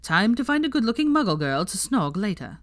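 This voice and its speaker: Woman doing a flamboyant young wizard, animated. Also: mid-range voice, brisk, dry recording, studio quality, pitched above the performer's own voice, lightly acted. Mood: showy.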